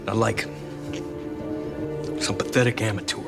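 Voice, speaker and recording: Man as courtroom villain in audio drama: low voice, defiant, deliberate hunter's swagger, music bed. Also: steady tone, gravelly. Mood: defiant, boastful. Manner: boastful, contemptuous, deliberate. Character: defiant, cold-blooded male murder defendant and hunter. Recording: music behind the voice.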